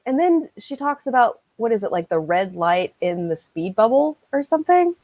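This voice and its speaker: A woman around her thirties chatting casually on a video call, acting out her reactions and quotes in an animated way. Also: a little high, moderate pace, no echo, phone-quality sound. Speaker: co-host of a book discussion. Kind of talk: casual chat. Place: small room at home, on a video call.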